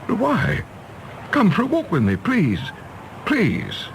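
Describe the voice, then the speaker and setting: An actor playing an earnest, disillusioned middle-aged English diplomat in a radio play, with a formal English accent. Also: male voice, high-pitched, wildly swooping pitch, takes it slowly, full character voice. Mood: pleading. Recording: faint background noise and ambience.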